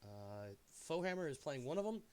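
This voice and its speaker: A man hosting a game stream in casual chat, sounding stuffy, animated and jokey, often trailing off into asides. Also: medium pitch, normal speed, smooth.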